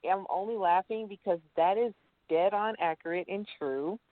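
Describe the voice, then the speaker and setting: A co-host teasing with animated, laughing delivery in casual podcast banter. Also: medium pitch, moderate pace, noisy background. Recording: dry phone-line sound.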